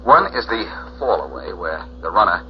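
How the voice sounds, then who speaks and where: A man's voice: low voice, very animated, moderate pace. A male actor playing a cocky, wisecracking amateur sleuth with a glib, teasing manner in 1940s radio detective style. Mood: brisk, explaining. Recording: dull, noisy 1940s radio recording.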